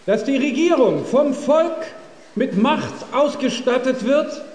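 A fascist leader in full oratory, his voice pushed very high. He declaims emphatically into a big, reverberant hall, as in a radio play about a political rally. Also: lively intonation, takes it slowly, darkish tone, exaggerated voice. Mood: fervent, commanding. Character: fascist party leader delivering a rousing political speech. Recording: echoing hall with long reverb.

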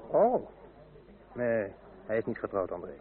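An actor playing a talkative, politically minded French gentleman in a radio play, cultivated and gossipy. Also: fairly low pitch, sing-song, measured pace. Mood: gossipy, teasing. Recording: tinny, band-limited old radio recording.

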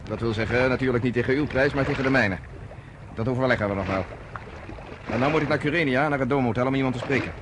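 A decisive leader gives his orders at a rapid clip, played by a man. The voice sits mid-range and sounds firm and businesslike. This is a radio drama, and faint noise runs under the voice. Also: medium pitch, natural voice. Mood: commanding. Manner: brisk, commanding. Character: decisive man commanding a refugee voyage. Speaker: leader organizing a ship. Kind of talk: radio play acting.

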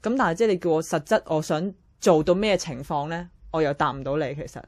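A woman's voice: sits low, fast, a little mellow. A young woman with a Hong Kong Cantonese accent, earnestly explaining herself in a casual interview.

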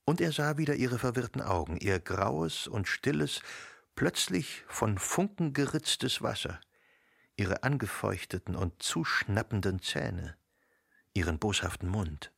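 Low, husky, gravelly male narrator. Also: expressive, a bit bright, no room sound, clean studio sound. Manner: measured, literary storytelling. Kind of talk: literary scripted narration for radio drama. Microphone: close studio microphone.

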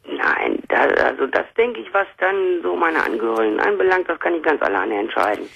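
A woman calling in to a late-night talk show, telling her story in a chatty, animated way. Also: low-pitched, brisk, vocal fry, husky. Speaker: caller. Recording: muffled phone-line audio.

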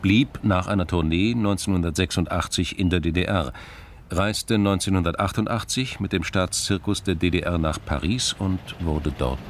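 Male narrator, deep register, literary crime radio drama, scripted read. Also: a little hiss or hum.

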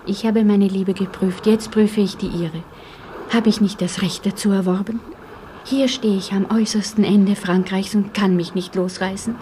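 Woman playing a devoted, solemn heroine, mellow timbre, earnest declamation, radio play, light background noise. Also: mid-range voice, close to an everyday voice. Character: solemn, devoted, resolute woman in wartime France. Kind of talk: German radio play acting.

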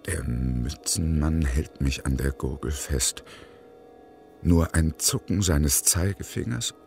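Very deep, gravelly brooding man. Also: soft background music, exaggerated voice. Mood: darkly fascinated. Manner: hushed, deliberate, halting. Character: brooding male delivery courier, darkly fascinated by danger. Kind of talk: dramatic first-person monologue.

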